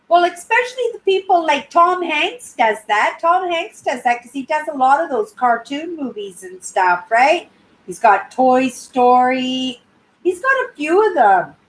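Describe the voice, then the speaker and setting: Older canadian lady rambling on her live stream, high clear voice, keeps saying right?. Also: rough recording. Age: 60s. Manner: rambling, tags sentences with "right?". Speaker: YouTube channel host. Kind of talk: casual live-stream chat.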